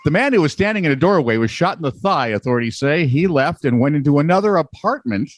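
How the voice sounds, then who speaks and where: A man's voice: a little high, quick pace. A man co-hosting a comedy news podcast, reading odd stories and adding wry, sarcastic jokes. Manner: wry, sarcastic, lively delivery. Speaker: co-host.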